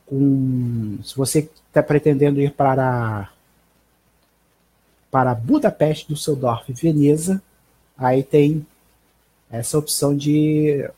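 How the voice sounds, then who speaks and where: A Brazilian man in his thirties with a husky voice, hosting a casual live news stream in a halting, informal way on a headset mic. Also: mid-range voice, steady tone, dark tone. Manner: halting, informal, frequent check tags. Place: small bedroom at home. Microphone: headset microphone.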